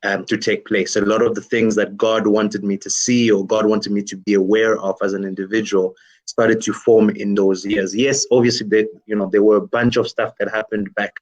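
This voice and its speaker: Man, French native, from DR Congo, lowish voice, thoughtful interview guest, lots of "you know". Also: flat delivery, normal speed, rough recording. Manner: thoughtful, many "you know" fillers and restarts. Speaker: guest musician and worship leader. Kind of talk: reflective interview talk.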